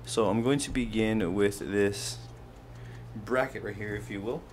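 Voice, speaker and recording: A man's voice: fairly low pitch, a little slow, noisy background. A man hosting a casual hands-on how-to video, explaining things step by step as he handles them. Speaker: host of a how-to product video. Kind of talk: casual hands-on tutorial narration.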